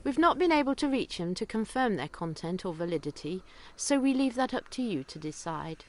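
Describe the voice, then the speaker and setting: A woman's voice: medium pitch, very animated, a little fast, close to an everyday voice. A woman playing a broadcaster who formally and theatrically introduces mysterious archive tapes in an audio drama. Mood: solemn, mysterious. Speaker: announcer introducing the series. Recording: clear background noise and ambience.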